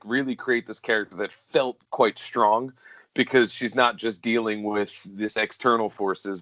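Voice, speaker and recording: A man's voice: medium pitch, a bit bright, no echo. A man co-hosting a podcast, talking casually and effusively about film, often feeling for the right word. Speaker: podcast co-host.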